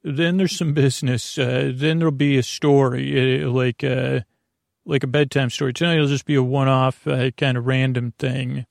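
A man's voice: mid-range voice, fairly even intonation, moderate pace, dry recording, studio quality. A man hosting a bedtime podcast in a meandering, hesitant, self-deprecating monologue that keeps drifting off on digressions. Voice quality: creaky, gravelly. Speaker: podcast host. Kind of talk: rambling bedtime monologue to listeners.

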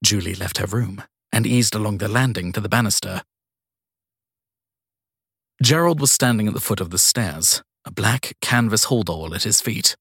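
A man narrates a crime story in a low voice. The sound is slightly husky and very dry, as in a close audiobook reading. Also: moderate pace, a bit bright, no echo. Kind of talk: scripted narration of crime fiction.

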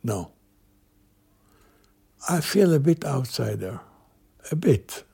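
An older man from Iraq, speaking slowly and haltingly about his life in an interview. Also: medium pitch, sing-song, creaky, mellow, husky. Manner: slow, halting, plain-spoken. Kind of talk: reflective interview.

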